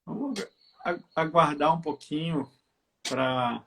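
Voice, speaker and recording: A man from Belo Horizonte hosts a casual live video chat and keeps things loose and conversational. His voice sits noticeably high for a man. It comes through a video call from his home. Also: expressive, slow pace, creaky, fairly bright tone. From Belo Horizonte, Brazil. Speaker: live stream host. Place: at home by a window.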